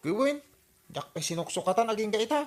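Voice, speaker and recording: A woman's voice: mid-range voice, sing-song, fast, darkish tone. A woman plays a skeptical Ilocano family member in a radio comedy. Her voice stays in a middle register. She bickers in a dry, teasing manner. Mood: bickering. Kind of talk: radio comedy drama acting.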